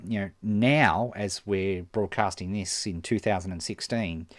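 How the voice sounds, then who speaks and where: A man answering viewer questions on a live stream as a marketing coach, animated and emphatic, often restarting his sentences. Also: on the low side, dry recording, studio quality. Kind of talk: live-stream Q&A, casual explaining.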